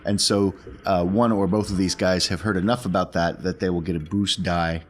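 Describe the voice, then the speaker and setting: A man's voice: low-pitched, level tone, moderate pace, slight background noise. A man chatting casually as a player in a tabletop role-playing game.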